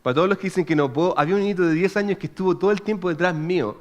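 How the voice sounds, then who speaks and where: A man in his thirties from Chile with a Chilean accent, preaching in a chatty, animated way and checking in with his listeners as he tells a personal story. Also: high-pitched, very fast, highs cut off. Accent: Chilean Spanish. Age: 30s. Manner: chatty and animated, frequent restarts and "¿ok?" check-ins. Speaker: pastor.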